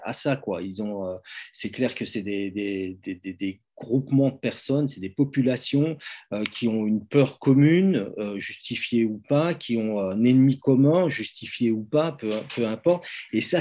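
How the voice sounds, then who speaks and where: Man, casual talk, husky and warm tone, hesitant delivery. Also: medium pitch. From Belgium. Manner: hesitant, many fillers and restarts, thinking aloud. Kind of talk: casual conversation.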